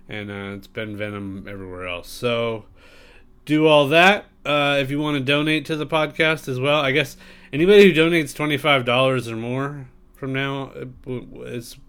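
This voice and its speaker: A man's voice: mid-range voice, a bit bright, clear, resonant tone. Congested man hosting a casual podcast. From San Diego area. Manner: loose, rambling, with many fillers and swearing. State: congested, nasal. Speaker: podcast host.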